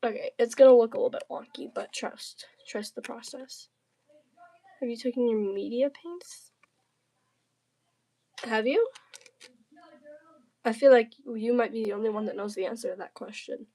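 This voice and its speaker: Higher-pitched woman, playful livestream chatter. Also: normal speed, pauses often, warm tone, poor audio. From southern United States. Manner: playful, slangy, jokey banter with chat. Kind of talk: casual livestream chat while crafting.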